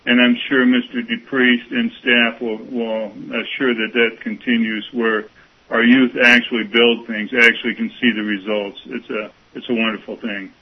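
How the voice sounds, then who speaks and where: A man on a school board, speaking through a phone on speakerphone, halting with many restarts. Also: mid-range voice, little pitch movement, husky. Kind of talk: public meeting remarks. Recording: dull lo-fi speakerphone audio.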